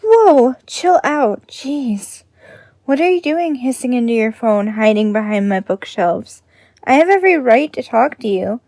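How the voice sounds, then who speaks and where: A woman's voice: fairly high pitch, lively intonation, a little slow, a little mellow, no room sound, studio quality. A woman playing a wary but caring bookstore owner in an audio drama, blunt and no-nonsense in manner. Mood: wary, annoyed.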